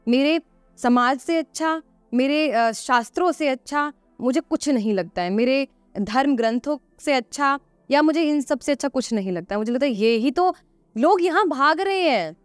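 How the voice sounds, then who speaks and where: A woman's voice: sits high, expressive, moderate pace, dry recording, soft background music. A woman guest on a podcast, explaining earnestly and conversationally, repeating phrases for emphasis and posing rhetorical questions.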